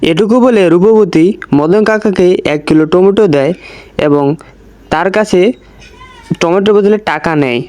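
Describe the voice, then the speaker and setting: A man's voice: high-pitched, unhurried, long pauses, darkish tone, dry recording. A man narrating a scripted village story for a cartoon as its storyteller.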